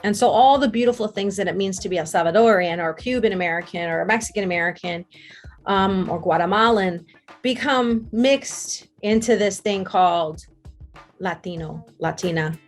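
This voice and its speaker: Thoughtful woman explaining, soft music. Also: medium pitch, moderate pace. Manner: thoughtful, with fillers. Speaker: interviewed expert. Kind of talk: reflective interview answer.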